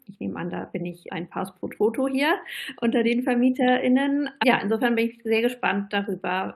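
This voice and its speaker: A woman who rents out holiday homes, introducing herself in a measured, articulate way. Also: mid-range voice, gravelly. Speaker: vacation rental host. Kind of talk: guest introduction.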